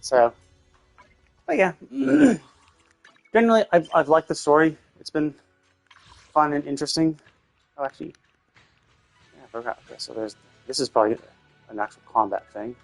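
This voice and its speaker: A man's voice: fairly high pitch, animated, moderate pace, vocal fry, long pauses, soft background music. A man giving casual livestream commentary on games, explaining in a rambling way and often rephrasing himself. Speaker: video game livestreamer.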